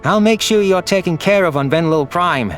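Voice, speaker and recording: A man's voice: high-pitched, normal speed, soft background music, voice pushed up, natural voice. A man voicing a bitter, defensive young male alien soldier, pleading intensely in a sci-fi audio drama.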